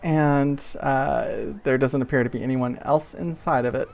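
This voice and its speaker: A man narrating a tabletop game as game master, casually and haltingly, searching for his words. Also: medium pitch, fairly even intonation, a little mellow, slight background noise.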